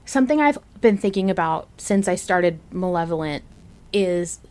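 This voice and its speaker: A woman's voice: fairly low pitch, animated, normal speed, darkish tone. A woman hosting a fan podcast, talking casually in a candid, analytical way and swearing now and then. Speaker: podcast host.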